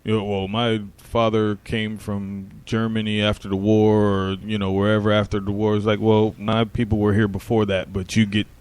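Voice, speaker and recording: A man's voice: fairly low pitch, monotone, moderate pace, hardly any pauses, darkish tone, clean tone, dry recording. A man co-hosting a comedy podcast in a laid-back, blunt, casual way, swearing freely and laughing often. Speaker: podcast co-host.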